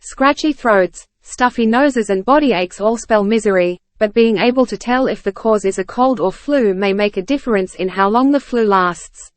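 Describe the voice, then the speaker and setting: A woman reading scripted practice passages aloud in a measured, carefully phrased way. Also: medium pitch, no room sound. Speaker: reader of exam practice passages. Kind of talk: scripted read-aloud narration.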